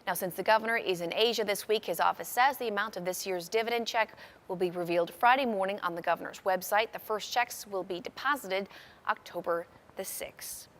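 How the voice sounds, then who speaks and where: A woman's voice: mid-range voice, a little fast. A woman anchoring a television newscast, reading scripted news in a presentational way.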